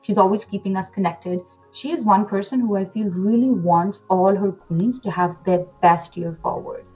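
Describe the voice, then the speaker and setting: A woman's voice: medium pitch, moderate pace, warm tone, soft background music. Woman in her twenties on a muffled video call. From India. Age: 20s. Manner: chatty, enthusiastic, with fillers and restarts. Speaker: pageant titleholder guest. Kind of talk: casual interview.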